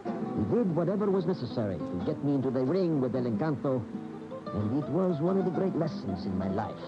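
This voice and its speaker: Actor as animated, reminiscing rival matador. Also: male voice, mid-range voice, brisk, close to an everyday voice. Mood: wry, admiring. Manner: reflective, storytelling. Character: proud rival matador, admiring and ambitious, recalling the past. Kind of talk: radio drama monologue. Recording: dull AM radio, loud music behind.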